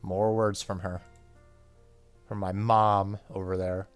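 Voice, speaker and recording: A man with a low voice narrates a visual novel as a gaming YouTuber. He moves between reading the text and casual asides, with faint music underneath. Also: little pitch movement, slow. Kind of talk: let's play, reading game text aloud with casual comments. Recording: faint game music behind voice.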